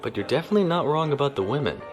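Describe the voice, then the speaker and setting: A man's voice: fairly high pitch, expressive, rapid-fire, faint music behind, lightly acted. An actor playing a stiff, formal young male naval officer who speaks properly and reservedly.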